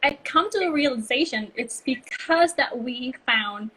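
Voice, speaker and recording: Husky woman, chatty storyteller. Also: sits high, animated, a little slow, pushed and bright, low-quality recording. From Bali, Indonesia. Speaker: guest, brand consultancy co-founder. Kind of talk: casual interview chat.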